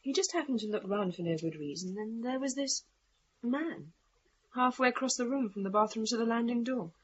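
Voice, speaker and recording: An actress playing a spirited, frank daughter in a radio play about a haunted house, with a wry, expressive delivery. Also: female voice, fairly high pitch, a little fast, husky, lo-fi sound. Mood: hushed recounting. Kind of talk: radio play dialogue and narration.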